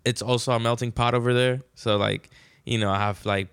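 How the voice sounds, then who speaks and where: A man's voice: mid-range voice, steady tone, fairly quick, a bit bright, clean tone, no room sound, studio quality. A man in his twenties from Venezuela, a podcast guest chatting in a slangy, rambling way. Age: 20s. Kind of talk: casual chat.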